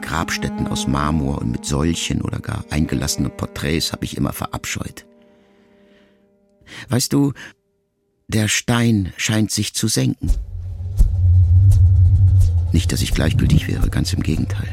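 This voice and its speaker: Male audiobook narrator, gravelly and measured, intimate reflective read over a clear music bed. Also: low voice. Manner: measured, reflective, intimate first-person address. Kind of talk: scripted literary narration.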